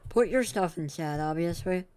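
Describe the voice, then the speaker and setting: A man's voice: fairly high pitch, moderate pace, darkish tone, clear, resonant tone. A young man streaming a game, chatting casually and rambling to his viewers between reactions. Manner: rambling, self-correcting, talks to chat between game reactions. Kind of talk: casual live-stream chatter while gaming.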